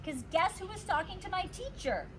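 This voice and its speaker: A woman reading a children's storybook aloud as a teacher, with expressive, deliberate storytelling. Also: very high-pitched, slight background noise.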